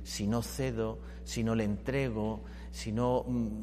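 A man's voice: lowish voice, slow pace. A man hosting a discussion panel, talking conversationally and putting questions to his guests. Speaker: host. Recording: reverberant room with steady hum.

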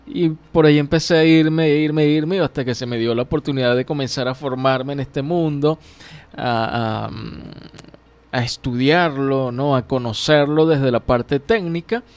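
Give me a young Venezuelan man with a middle-pitched voice, speaking in an easygoing, rambling way on the radio. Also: unhurried, clear, resonant tone, no echo. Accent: Venezuelan Spanish. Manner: relaxed, rambling, many fillers and laughs. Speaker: radio producer, interview guest. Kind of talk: casual radio interview.

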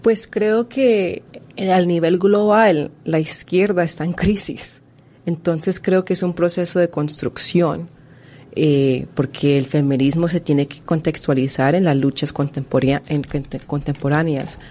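Low mellow-voiced woman historian. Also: fairly even intonation. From Colombia. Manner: earnest, measured, with restarts. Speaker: guest author and historian. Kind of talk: radio interview answers.

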